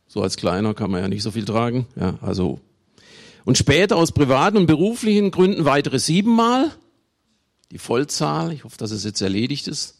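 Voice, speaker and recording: A man from Germany preaching a sermon, with emphatic delivery, deliberate pauses and conversational asides to his listeners. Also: on the low side, vocal fry. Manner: emphatic, with dramatic slow phrasing and pauses. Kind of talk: sermon to a congregation.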